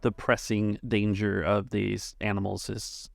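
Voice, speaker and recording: A low-voiced man with a gravelly creak, recorded close and dry. He acts as game master, narrating scenes and rulings in a halting, thinking-aloud manner. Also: little pitch movement, no room sound, soft background music. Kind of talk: narrating a tabletop roleplaying game.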